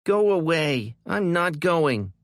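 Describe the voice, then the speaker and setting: A stubborn, whiny little girl, voiced by a man, digs in her heels in a high, childish voice. She sounds defiant and on the edge of tears. The audiobook reading is dry and close. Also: sing-song, a little slow, vocal fry, fairly bright tone, no room sound, exaggerated voice. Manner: defiant, whining.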